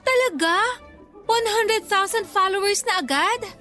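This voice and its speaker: A woman's voice: very high-pitched, unhurried, sharp tone, full character voice. An actress playing a sweet, devoted young girlfriend in a Tagalog radio romance, tender and effusive. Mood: surprised, happy. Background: faint music.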